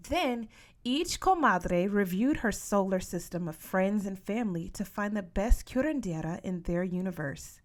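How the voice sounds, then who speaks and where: A woman reading a children's novel aloud as an expressive audiobook narrator. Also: medium pitch, measured pace. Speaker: audiobook reader.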